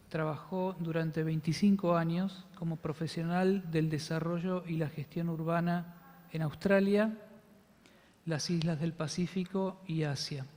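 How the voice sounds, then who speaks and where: A man's voice: fairly high pitch, a little mellow. A man acting as conference moderator, reading a formal introduction of the guest speaker with a few hesitations. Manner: reads a formal biography, with some hesitations. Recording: long reverb in a lecture hall.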